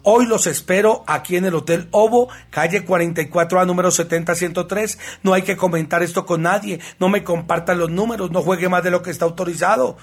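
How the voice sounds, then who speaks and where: A man from Colombia pushes his psychic services in a radio ad, hammering the same phone number over and over. His voice sits high and sounds husky and breathy. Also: fairly quick, hardly any pauses. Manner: insistent, repeats phone number. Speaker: psychic advertising readings. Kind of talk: radio ad pitch.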